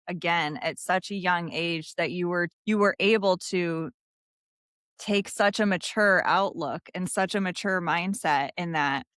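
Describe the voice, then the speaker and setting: A woman's voice: fairly low pitch, fairly bright tone, clean tone, no echo, clean studio sound. A middle-aged woman hosting an interview, talking earnestly and encouragingly in long rambling sentences. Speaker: host and coach. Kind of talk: casual interview chat.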